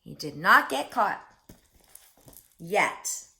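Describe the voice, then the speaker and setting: A woman's voice: mid-range voice, sing-song, extremely slow, gravelly, pushed and bright. A woman reading a picture book aloud to young children as a story-time host, theatrical and often putting questions to her listeners.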